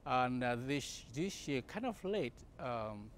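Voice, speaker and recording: A man's voice: mid-range voice, sing-song, slow, a little hiss or hum. A man from Cameroon answering interview questions at a conference, with frequent fillers and restarts. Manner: hesitant, many fillers and restarts. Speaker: congress participant, medical company CEO. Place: conference event.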